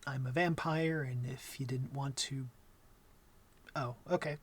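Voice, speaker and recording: A young man playing an awkward, gentle vampire in an intimate ASMR roleplay, talking softly and hesitantly with a wry streak. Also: mid-range voice, fairly even intonation, unhurried, breathy edge. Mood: nervous, relieved. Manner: hesitant, soft-spoken, wry.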